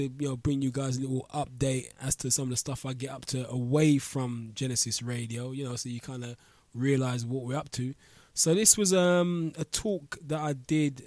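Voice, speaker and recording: A man's voice: on the high side, a little mellow, no room sound. A man hosting a radio talk show, blocked up with a cold, chatting loosely in street slang. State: blocked-up nose. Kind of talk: casual radio chat. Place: radio studio. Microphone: studio mic.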